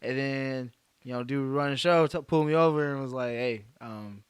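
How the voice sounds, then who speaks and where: A man's voice: mid-range voice, fairly quick, clear, resonant tone, dry recording. A young man from Hawaii, a comedian telling a laid-back, laughing story in a casual interview after his set. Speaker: comedian guest. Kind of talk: casual post-show interview.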